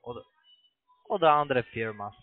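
A man with a foreign accent, not a native speaker, making a halting sales pitch over the phone in broken sentences with repeated restarts. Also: expressive, no echo, faint music behind. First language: not German. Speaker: phone sales agent, investment scam caller. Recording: tinny narrow phone line.

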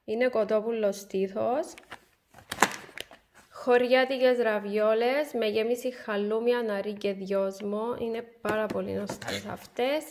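A woman's voice: fairly high pitch, fairly even intonation, background noise. A woman, chatting to camera and showing her groceries one by one in a casual home vlog. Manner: chatty, warm sign-off, item-by-item show and tell. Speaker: vlogger. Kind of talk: casual supermarket haul vlog. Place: bright room by a window, indoors.

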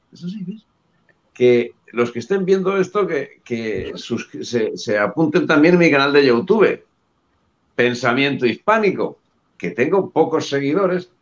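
An older man, a guest author, chatting warmly on a video call and laughing easily. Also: medium pitch. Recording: dull video call audio.

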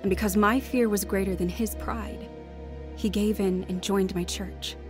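An actress playing an anxious, earnest young wife terrified of death, in a radio drama. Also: female voice, mid-range voice, steady tone, unhurried, music in the background, natural voice. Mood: brooding. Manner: earnest, fretful. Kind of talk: radio drama acting and narration.

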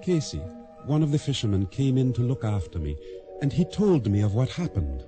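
A man's voice: mid-range voice, very animated, moderate pace, over music, close to an everyday voice. An actor playing a weary island boatman who tells of his survival as reflective radio drama narration. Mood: reflective, resigned. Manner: reflective, storytelling.

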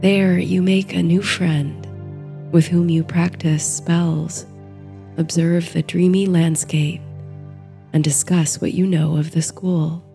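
Female sleep-story narrator: very slow, even and soft-edged, with mellow low-key tone over music. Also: creaky. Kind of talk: slow, soothing bedtime story and guided meditation narration.

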